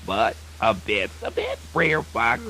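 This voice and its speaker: A wildly swooping cocky little cricket. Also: male voice, on the low side, wildly swooping pitch, takes it slowly, noisy background, full character voice. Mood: smug. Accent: Southern US. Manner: folksy, self-assured, indignant. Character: tiny, cocky, wily male cricket. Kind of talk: children's radio theatre folk tale acting.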